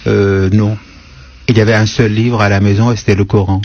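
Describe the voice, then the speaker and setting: A man in his sixties from northeast Syria, a native Kurdish speaker, being interviewed on the radio and answering slowly with frequent hesitations. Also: lowish voice, level tone, darkish tone, husky, no room sound. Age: 60s. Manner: thoughtful, hesitant with many pauses. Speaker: guest writer. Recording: dull radio broadcast, faint noise.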